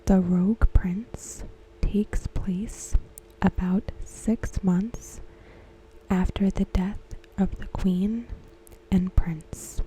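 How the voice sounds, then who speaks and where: A woman softly whispering a scripted recap in ASMR style, close to the microphone, with gentle, deliberate phrasing. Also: medium pitch, fairly even intonation, poor audio. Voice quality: soft, breathy, mostly whispered. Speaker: ASMR host. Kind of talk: ASMR, softly reading a scripted episode synopsis.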